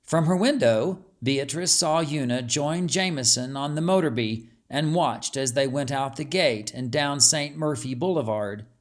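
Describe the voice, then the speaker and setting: A man's voice: mid-range voice, unhurried, hardly any pauses. A man reading a story aloud as a scripted audiobook narration.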